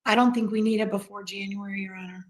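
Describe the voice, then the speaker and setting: A woman's voice: medium pitch, fairly even intonation, quick pace. A woman attorney answering the judge in a court hearing, brief and matter-of-fact.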